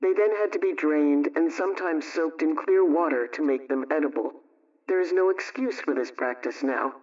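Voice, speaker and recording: A man reading scripted household advice and recipes in a measured, instructional way, like a 1920s radio programme host. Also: fairly high pitch, darkish tone. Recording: dull, distant vintage radio sound.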